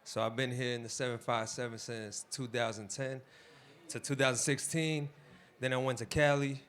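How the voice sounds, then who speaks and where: A man's voice: mid-range voice, fairly even intonation, normal speed, a bit bright. A man from New Jersey speaking up from the audience at a community panel, loose and rambling, swearing freely. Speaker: audience member. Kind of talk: casual speech at a community panel.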